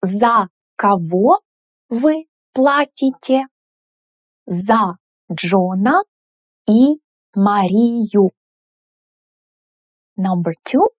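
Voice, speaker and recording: Slow, patient woman teacher. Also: mid-range voice, expressive, no echo, soft background music. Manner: slow, clear, patient explaining with gestures. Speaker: language teacher. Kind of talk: whiteboard lesson explaining grammar. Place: indoor room in front of a whiteboard.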